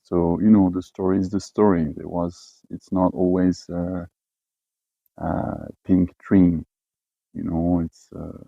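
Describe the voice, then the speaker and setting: A man from French-speaking Switzerland with a Swiss French accent, talking casually in halting English with many fillers and restarts. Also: low voice, level tone, gravelly, no room sound, lo-fi sound. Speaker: expatriate herder. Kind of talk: casual personal talk.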